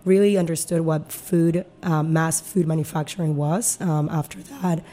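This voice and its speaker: A woman speaks in a low, mellow voice. She is a company founder in a podcast interview, earnest and thorough, explaining at length with many small fillers. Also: fairly even intonation, moderate pace. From Peru.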